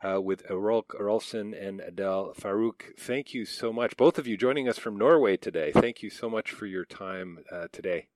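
Radio host, male, very low voice, dry studio sound, animated and chuckling. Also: moderate pace, hardly any pauses, no echo. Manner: energetic, laughing, repeats words as he starts. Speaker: radio interviewer. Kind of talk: radio interview.

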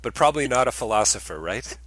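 A man's voice: mid-range voice, expressive, fast talker, a bit bright, no room sound. A man interviewing a guest, asking thoughtful questions with stammering restarts.

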